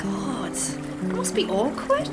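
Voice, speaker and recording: A woman's voice: high-pitched, wildly swooping pitch, slow, exaggerated voice. A woman playing a nosy, teasing friend in an audio drama. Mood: teasing, curious. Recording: loud music underneath.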